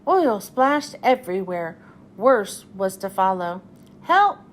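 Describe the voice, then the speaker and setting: Female children's storybook reader, expressive and animated, slow delivery, slight background noise. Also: a little high. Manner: expressive storytelling with voiced dialogue. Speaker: children's read-aloud host. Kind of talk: storybook reading aloud for children.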